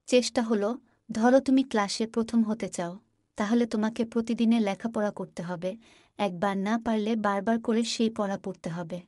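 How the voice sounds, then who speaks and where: A woman's voice: on the high side, fairly even intonation, normal speed, a little mellow, no room sound, studio quality, close to an everyday voice. A woman playing a patient, practical village mother in a cartoon, explaining things instructively. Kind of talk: cartoon voice acting.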